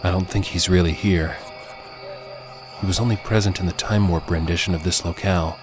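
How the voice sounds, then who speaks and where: Man playing a solemn, low-voiced male time traveler. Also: fairly even intonation, a little fast, over music, close to an everyday voice. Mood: grave, resolute. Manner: formal, archaic, grave diction.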